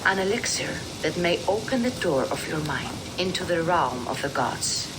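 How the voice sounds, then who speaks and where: A woman playing a wise, serene Norse seeress in a video game, speaking in measured, poetic, gracious phrases. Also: deep voice, expressive, noisy background. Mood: gentle, probing. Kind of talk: dramatic video game acting.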